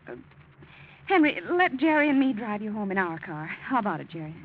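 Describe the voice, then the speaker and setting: Anxious Nella, tinny old radio. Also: female voice, medium pitch, very animated, a little fast. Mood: worried. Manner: pleading, hesitant. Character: Nella, anxious, protective, cautious woman. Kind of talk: 1940s radio drama acting. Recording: tinny, noisy old-time radio recording.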